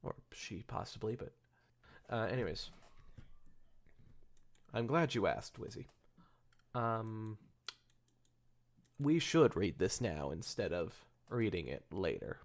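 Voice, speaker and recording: A man's voice: on the low side, lively intonation, takes it slowly, pauses often. A man hosting a casual comedy podcast, joking and rambling with some swearing. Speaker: podcast host.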